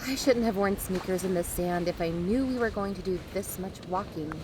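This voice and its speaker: A woman's voice: medium pitch, fairly quick, close to an everyday voice. Actress as wary young woman, noisy outdoors. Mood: wary, concerned. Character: casual, concerned young woman friend, a bit wary. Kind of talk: naturalistic audio drama dialogue. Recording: noisy outdoor beach ambience. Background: outdoor ambience.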